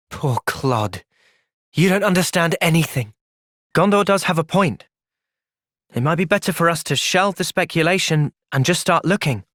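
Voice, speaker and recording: Earnest young game hero. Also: male voice, fairly high pitch, animated, unhurried, pauses often, a bit bright, no room sound, studio quality. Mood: calm, resolute. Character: earnest, thoughtful young male hero, loyal to friends. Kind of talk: video game cutscene voice acting.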